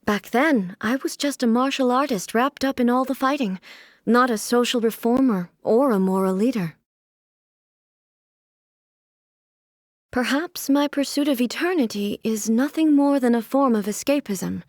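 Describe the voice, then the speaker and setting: An actress voicing a solemn, aloof immortal shogun and swordswoman in a video game, with formal, measured, stately delivery. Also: on the high side, no room sound, clean studio sound, close to an everyday voice. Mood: reflective, composed. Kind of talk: video game character voice lines.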